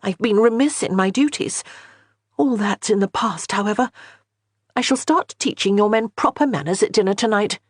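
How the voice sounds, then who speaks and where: A woman voicing a flustered young noble wife, rattled and bossy, in a husky voice. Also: normal speed, dry recording, studio quality, close to an everyday voice. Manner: rattled, bossy, high-strung.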